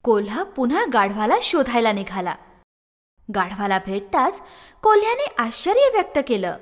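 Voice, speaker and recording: Lady reading a kids story, high voice, really animated, big reverb on it. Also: moderate pace. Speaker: narrator. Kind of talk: expressive scripted children's storytelling.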